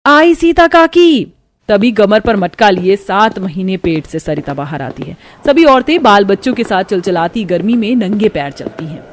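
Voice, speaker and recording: A woman's voice: medium pitch, animated, moderate pace, few pauses, a little hiss or hum. A woman narrating a scripted story in a dramatic storytelling manner.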